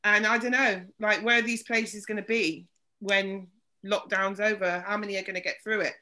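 A woman's voice: medium pitch, fairly even intonation, normal speed, pushed and bright. A woman hosting a casual video-call chat, talking loosely with lots of fillers. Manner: chatty, loose with many fillers. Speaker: host.

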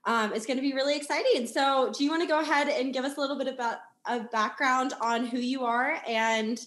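A young woman co-hosting a casual podcast. Her voice sits high, and she speaks with upbeat, eager enthusiasm. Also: a little fast, a bit bright. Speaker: podcast co-host. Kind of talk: casual interview chat.

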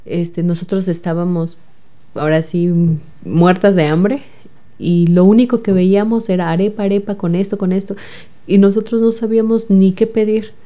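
Young mexican woman with a soft, mellow voice. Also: mid-range voice, fairly even intonation, normal speed. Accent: Mexican Spanish. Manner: chatty, with many fillers and repeated words. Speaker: guest, recent engineering graduate. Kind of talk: casual interview answers.

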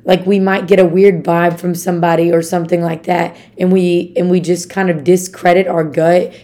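Raspy upbeat woman podcaster. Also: fairly low pitch, mellow. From Arkansas, USA. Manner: upbeat, chatty, addresses listeners as y'all. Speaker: podcast host. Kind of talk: casual motivational podcast.

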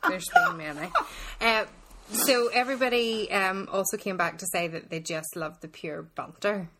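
A woman's voice: medium pitch, big pitch swings, normal speed, creaky, noisy background. Northern irish girl in her 30s chatting on a podcast, casual, bit of teasing banter. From Northern Ireland. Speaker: podcast co-host. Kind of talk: casual chat.

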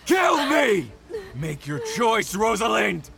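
An actor playing a smug, unhinged serial killer who taunts in a theatrical, deliberate way, in an audio drama. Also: male voice, high-pitched, sing-song, gravelly, heavy background noise, full character voice. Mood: manic taunting.

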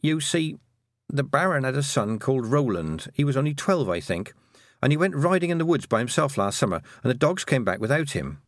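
A man's voice: medium pitch, big pitch swings, brisk, no echo, clean studio sound, voice pushed up. A man voicing an earnest, stubborn and anxious young girl who hesitates, then blurts things out, in an audiobook. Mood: hesitant. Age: child. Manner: hesitant openings, then breathless rush of detail. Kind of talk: audiobook character dialogue.

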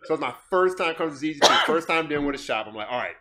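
A man's voice: on the high side, expressive, a crowd in the background. A man co-hosting a podcast in casual, joking chat, telling stories and now and then slipping into a put-on voice. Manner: playful, talks fast, slips into funny put-on voices. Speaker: podcast co-host.

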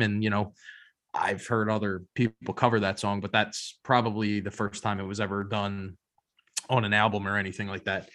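Male American podcast co-host, lower-pitched voice, casual chatty delivery. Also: a bit bright. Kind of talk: casual chat.

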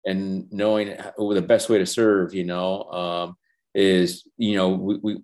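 An older man whose first language is Spanish, talking earnestly in a casual interview, halting with many restarts and fillers. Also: low-pitched, level tone, darkish tone. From Los Angeles. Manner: earnest, reflective, faith-centered. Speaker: animation professor and former feature animator, guest.

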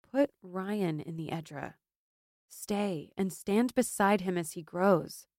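A woman's voice: fairly low pitch, slow pace, darkish tone, no echo. Female narrator as a loyal young woman, fantasy audiobook, insistent, impassioned, dry close sound. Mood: earnest. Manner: insistent, impassioned, gaining momentum. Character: fierce, stubborn, loyal young woman, pleading best friend.

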